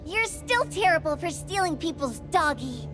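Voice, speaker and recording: A woman's voice: very high-pitched, lively intonation, moderate pace, over music. A woman playing a blunt, pouty, feisty young girl in an anime-style game. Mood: accusing.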